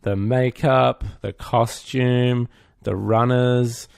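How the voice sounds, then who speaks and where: Male co-host, gravelly mid-range voice, casual and drily teasing. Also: medium pitch, fairly even intonation, extremely slow, dry recording, studio quality. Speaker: podcast co-host. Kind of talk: casual banter.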